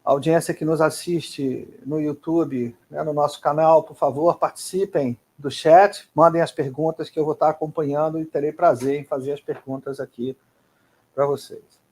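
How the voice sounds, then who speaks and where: A male seminar host with a Brazilian Portuguese accent and a fairly high voice. He introduces the guest formally, pausing often as he searches for words. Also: steady tone, a little mellow, husky.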